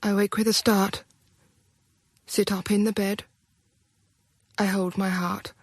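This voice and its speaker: Brief: a brave frightened young wife, husky hushed delivery, unhurried. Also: female voice, medium pitch, flat delivery, dry recording, clean studio sound. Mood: fearful. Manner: hushed, breathy confession.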